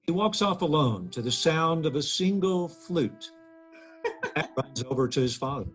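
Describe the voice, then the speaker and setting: A man reading the stage directions aloud at a table read in a plain, steady way. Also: fairly high pitch, normal speed, faint music behind.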